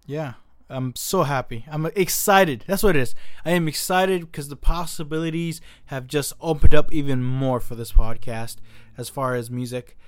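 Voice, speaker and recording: Young male podcast host, relaxed and a bit halting, slightly high voice, dry sound. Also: smooth, no echo. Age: young adult. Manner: relaxed, stammers on question starts. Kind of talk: casual podcast chat.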